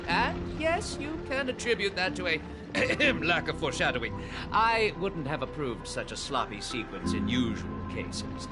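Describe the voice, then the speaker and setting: A man's voice: on the high side, sing-song, a little slow. An actor playing a fussy, verbose male memory keeper in a game cutscene, speaking in a pompous, ornate manner. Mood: lofty. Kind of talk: dubbed game cutscene dialogue. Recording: voice over loud music.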